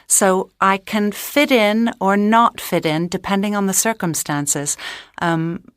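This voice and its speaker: A woman from the United States with a Mid-Atlantic accent, reflecting calmly on her own story with small hesitations. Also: medium pitch, slow, clear, resonant tone, dry recording, studio quality. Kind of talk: unscripted personal account.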